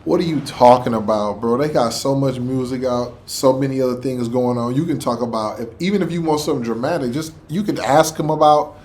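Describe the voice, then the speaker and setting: A man's voice: medium pitch, a little fast, hardly any pauses, darkish tone. Casual man reacting with exasperated questions. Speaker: reaction video host.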